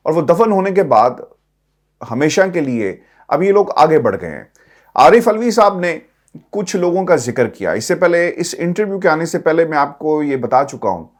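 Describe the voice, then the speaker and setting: Male political commentator, Pakistani accent, mid-range voice. Addresses viewers directly, leans on points with emphasis and repetition. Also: medium pitch.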